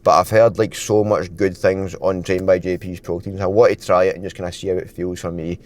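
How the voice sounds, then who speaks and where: Rambling man, low voice. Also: little pitch movement, a little fast, darkish tone. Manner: rambling, heavy filler words. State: dry, croaky throat. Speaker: fitness vlogger. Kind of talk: casual vlog talk to camera.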